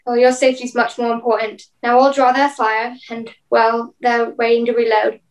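A young actress plays a woman sea captain in a radio drama. She is stern yet caring, with a high-pitched voice. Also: flat delivery, moderate pace, close to an everyday voice, poor audio. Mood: concerned. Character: stern but caring female ship's captain. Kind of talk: radio play acting.

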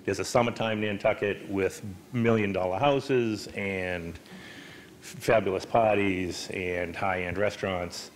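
An older man interviewing an author on stage, loud and chatty with joking asides. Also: deep voice, steady tone, measured pace, slight background noise. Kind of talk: onstage conversation. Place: stage of a hall with an audience. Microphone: handheld mic.

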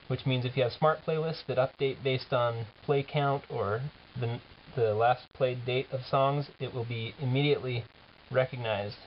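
A man giving an informal software demo as the app's developer, talking casually and haltingly as he thinks aloud. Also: medium pitch, a little hiss or hum. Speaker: app developer presenting a demo. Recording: dull lo-fi camera audio, music behind.

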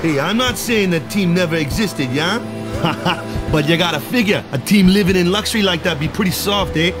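A man's voice: high voice, normal speed, close to an everyday voice. An actor playing an easygoing young male island ball coach in a video game, with colloquial, tag-question phrasing. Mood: teasing. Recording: music behind the voice.

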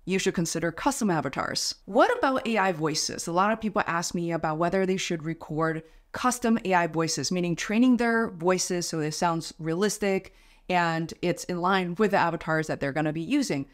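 A woman's voice: fairly low pitch, normal speed, dry recording. Tutorial host, woman in her thirties, native Mandarin speaker, upbeat and chatty, dry close mic. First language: Mandarin Chinese. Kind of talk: software tutorial. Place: home studio room.

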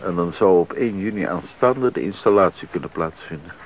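A man acting a sober, devout composer and husband in a Dutch radio drama, speaking in a measured, reflective way. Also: low voice. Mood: sober, resigned. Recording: dull, noisy 1980s radio recording.

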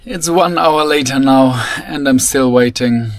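A young man, a native German speaker, talking casually to a camera held at arm's length as he travels, calm and matter-of-fact. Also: medium pitch, unhurried, slight background noise. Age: young adult. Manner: relaxed, matter-of-fact, chatty. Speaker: travel vlogger. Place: inside a vehicle and outdoors at a border. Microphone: handheld camera at arm's length.

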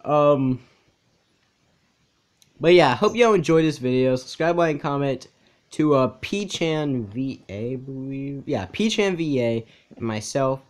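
A man's voice: mid-range voice, animated, slow pace. A young man hosting a reaction video with casual, off-the-cuff commentary. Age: young adult.

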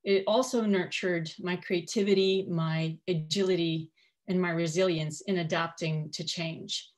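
Woman in her forties, fairly low voice, measured and articulate, personal storytelling, panel speaker. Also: steady tone. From Kuwait, of Syrian parents. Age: 40s. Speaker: panel speaker, coach and media professional. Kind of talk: personal storytelling in a prepared talk.

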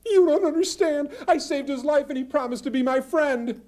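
A man voicing a small, frightened squirrel in a told story, squeaking out indignant protests. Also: moderate pace, a little mellow. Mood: panicked protest.

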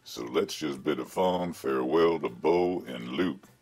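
A man's voice: very deep voice, unhurried, a little mellow, slightly rough, exaggerated voice. A man playing a folksy, mock-grand announcer of an old-time radio comedy show. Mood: signing off.

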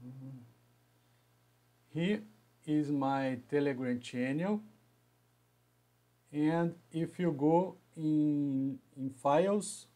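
Slow, halting man with a Brazilian accent. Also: fairly high pitch, warm tone. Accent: Brazilian Portuguese. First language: Portuguese. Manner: halting, with frequent restarts and repeated words. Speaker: engineering educator hosting a live session. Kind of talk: live stream talk to viewers. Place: small room at home with bookshelves.

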